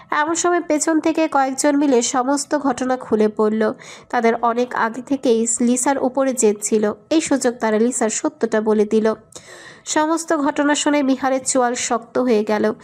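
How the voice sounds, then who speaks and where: A woman reads a romantic story as its narrator. Her voice is on the high side, and she delivers scripted prose. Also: a little mellow.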